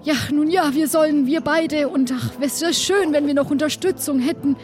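A woman playing an excitable, flustered female pirate crew member in an improvised audio play, talking theatrically with halting exclamations. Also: high-pitched, lightly acted. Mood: excited, chatty. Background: background music.

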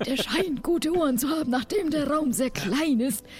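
A woman playing a cautious, honourable pirate companion in an improvised audio drama, calm and matter-of-fact. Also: high voice, moderate pace, close to an everyday voice.

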